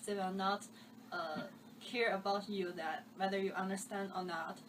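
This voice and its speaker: A woman's voice: mid-range voice, steady tone, moderate pace. A woman from China speaks as an international student in plain, searching phrases. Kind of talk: casual interview answers. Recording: distant mic, noisy background.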